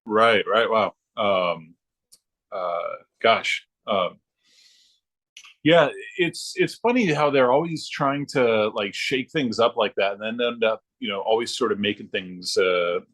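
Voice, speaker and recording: A man's voice: lowish voice, expressive, takes it slowly, fairly bright tone. A man speaking as a podcast guest in casual chat, agreeable and thinking aloud with hesitations. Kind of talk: casual chat about comic books.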